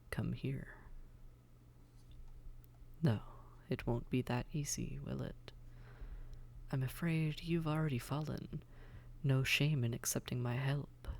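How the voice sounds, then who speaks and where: Haughty demon prince for audio roleplay, male actor: slow, refined, gravelly, condescending, seductive, often near a whisper. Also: mid-range voice. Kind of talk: scripted roleplay audio drama, addressed to listener.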